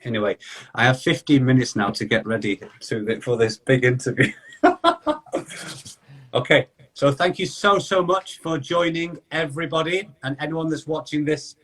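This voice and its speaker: A man hosting a casual podcast interview, chatty and fast in bursts, with lots of restarts and "you know". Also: on the high side, a bit bright. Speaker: podcast host and skating coach.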